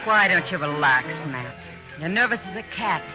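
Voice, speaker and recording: A woman's voice: on the low side, animated, moderate pace, over music, lightly acted. An actress playing a worried, fond frontier woman, in 1950s radio western style. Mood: concerned. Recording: dull old radio recording.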